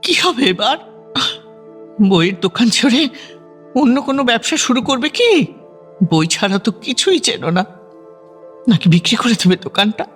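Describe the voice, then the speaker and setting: A woman's voice: fairly high pitch, very animated, moderate pace, pauses often, soft background music. An actress playing a worried, sorrowful middle-aged mother in an audio drama. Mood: anxious despair.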